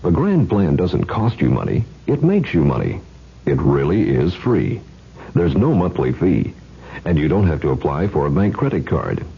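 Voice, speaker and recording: A man announces a radio commercial in a rock-bottom, gravelly register. His delivery is animated and very raspy. The 1970s recording sounds dull. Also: low voice, moderate pace. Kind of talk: scripted radio advertisement.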